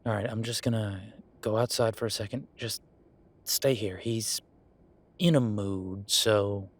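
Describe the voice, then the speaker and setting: A man playing a gruff, sarcastic merman who runs a tattoo shop, speaking in a halting, conversational audio roleplay style. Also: fairly low pitch, fairly even intonation. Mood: concerned, gruff. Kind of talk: naturalistic one-sided audio roleplay.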